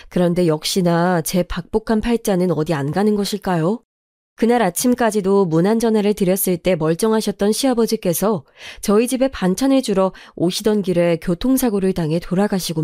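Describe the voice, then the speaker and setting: A woman reading a first-person life story aloud in a calm, even storytelling manner. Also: mid-range voice, dry recording, clean studio sound. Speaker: story narrator.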